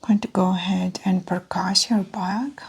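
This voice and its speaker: A woman in an ASMR medical roleplay, speaking in a soft, breathy whisper and calmly explaining each step as the examiner. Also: mid-range voice, a little slow, slight background noise.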